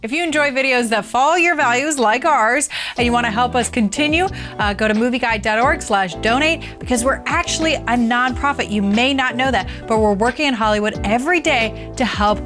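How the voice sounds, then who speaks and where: A woman's voice: fairly high pitch, fairly quick, hardly any pauses, fairly bright tone, soft background music. A woman hosting an interview show in a casual, conversational presenting style. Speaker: interviewer, host.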